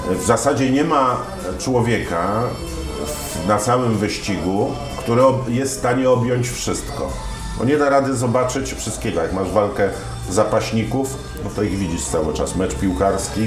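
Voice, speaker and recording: A man in his fifties with a lowish voice talks through a relaxed interview, winding through his stories with fillers and self-corrections. His voice carries a roomy echo, and music plays clearly behind him. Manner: storytelling, many fillers and restarts. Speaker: sports commentator, interview guest. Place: dim room lined with wine shelves, indoors. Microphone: lapel mic.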